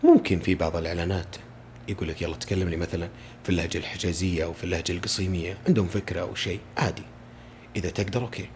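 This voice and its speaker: Fast-talking deep-voiced man. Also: fairly even intonation, darkish tone, slight background noise. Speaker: voice-over artist, podcast guest. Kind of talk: casual conversation.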